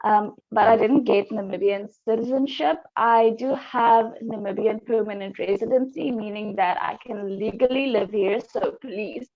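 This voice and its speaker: A woman's voice: a little high, normal speed, hardly any pauses. A woman in her twenties who grew up in South Africa, a guest telling her story casually on a video call. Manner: chatty, storytelling. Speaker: guest, pageant YouTuber. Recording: dull lo-fi call audio.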